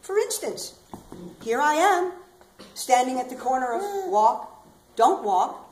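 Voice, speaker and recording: A woman acting as an eccentric, loud-voiced bag lady on a small theater stage, talking brashly and rambling straight at her listeners. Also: high-pitched, big pitch swings, slow pace, exaggerated voice. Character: eccentric loud middle-aged bag lady waiting for aliens. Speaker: stage actor. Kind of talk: theatrical comic monologue. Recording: echoey hall, faint ambient noise.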